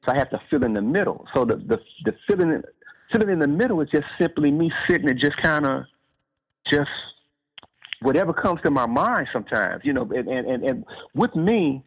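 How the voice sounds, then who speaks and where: A man's voice: on the high side, expressive, a little fast, husky. A man talking casually as an author in an interview, reflectively explaining things step by step and restating his phrases. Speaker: author in conversation about writing. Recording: slightly dull, lo-fi radio-like sound.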